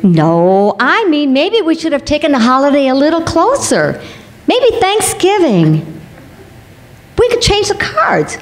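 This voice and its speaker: A woman's voice: high voice, wildly swooping pitch, unhurried, heavily acted. A woman acting a worried, doubting friend and business partner in an old-time radio comedy, recorded in a hall. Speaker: actor in a radio play. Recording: echoey stage hall.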